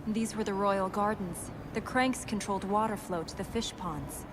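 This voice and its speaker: An actress voicing a brave, earnest young princess in a video game, serious and matter-of-fact. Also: female voice, mid-range voice, moderate pace, close to an everyday voice. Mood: earnest, practical. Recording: game audio with noisy ambience behind.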